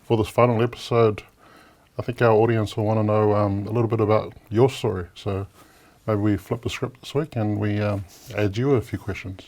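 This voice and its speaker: A man with a New Zealand accent, relaxed and a little hesitant, asking questions and sharing his background in a studio chat. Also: on the low side, brisk. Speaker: guest co-host asking questions. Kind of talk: casual interview chat.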